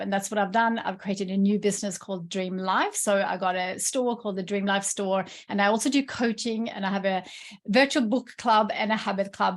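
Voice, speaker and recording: A woman's voice: medium pitch, gravelly. A woman from Sweden, a native Swedish speaker with a Swedish accent, chattily and enthusiastically leading an informal online workshop. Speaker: workshop presenter, entrepreneur and coach.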